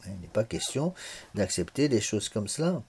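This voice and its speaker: An older man in his sixties lectures a class on religious morals. His husky voice swings widely in pitch. He often stalls and repeats small words several times before pressing on. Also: sing-song, normal speed, a little mellow. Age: 60s.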